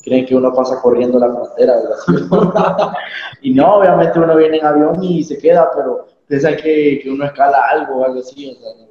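A man's voice: mid-range voice, moderate pace. A young man speaks on a video call from a very echoey room. The sound comes through muffled and dull, and he talks hesitantly with some amusement. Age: young adult. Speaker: panelist. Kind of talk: casual chat on a video call.

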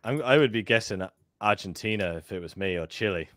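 A man chatting casually on a video call while playing an online guessing game, thinking out loud in a quick, chatty way. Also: lowish voice. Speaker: gamer guest. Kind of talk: casual chat while gaming. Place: home room on a video call.

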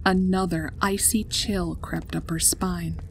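A woman's voice: fairly low pitch, fairly even intonation, takes it slowly, vocal fry, slight background noise. A woman reading a scripted story as its narrator. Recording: music behind the voice. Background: background music.

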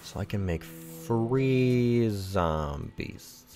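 A man's voice: fairly low pitch, lively intonation, extremely slow, dark tone, faint music behind. A man doing casual gameplay commentary with a slightly congested, froggy voice, rambling, chuckling and thinking aloud. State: congested, a bit froggy from the sniffles. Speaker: gaming YouTuber.

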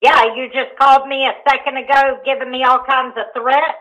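A woman's voice: high voice, flat delivery, a little fast, fairly bright tone. A woman with a Southern US accent talking casually on the phone in a defiant, goading way. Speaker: prank call target. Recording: muffled telephone call.

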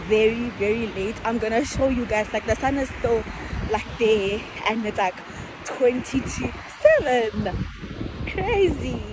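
South african girl in her 20s vlogging on a walk, slightly high voice, lots of street noise, phone at arm's length. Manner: chatty, rambling with many "like" fillers. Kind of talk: casual vlog chat. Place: outdoors on a street at sunset. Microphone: phone held at arm's length.

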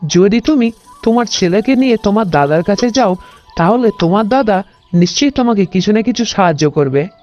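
A woman voicing a gentle, helpful village friend in a cartoon, speaking in a polite, coaxing way, with dull lo-fi sound. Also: medium pitch, animated, fairly quick, soft background music, close to an everyday voice. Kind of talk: cartoon morality tale dialogue.

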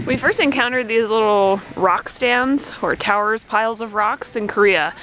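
A woman's voice: on the high side, moderate pace, fairly bright tone, low-quality recording. A woman in her thirties telling stories as a travel vlogger, filmed outdoors on a handheld camera at arm's length. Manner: chatty, playful storyteller. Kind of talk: casual travel vlog narration. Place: outdoors at steaming volcanic hot springs. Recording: outdoor wind and steam noise. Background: hissing steam vents.

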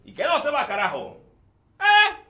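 Desperate villagers: high, loud, scolding. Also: male voice, wildly swooping pitch, normal speed, full character voice. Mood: desperate angry. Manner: scolding, pleading shouts. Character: desperate, angry village folk, mixed ages.

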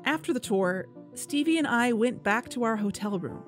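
A woman's voice: fairly high pitch, lively intonation, moderate pace, faint music behind, close to an everyday voice. A woman playing an anxious, driven female podcast investigator in an audio drama, earnest and urgent. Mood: serious, measured.

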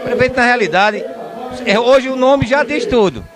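An older man in his sixties with a Northeastern Brazilian accent, interviewing informally on location in a halting, repetitive way. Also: very high voice. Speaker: event reporter, interviewer. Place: outdoor night event, in front of a sponsor backdrop. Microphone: handheld microphone. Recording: loud crowd noise behind, outdoors.

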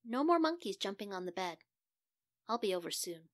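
A woman's voice: medium pitch, very animated, moderate pace, no echo, close to an everyday voice. A firm, admonishing fairy-tale doctor played by a woman storyteller. He is caring yet exasperated, and the sound is close and dry.

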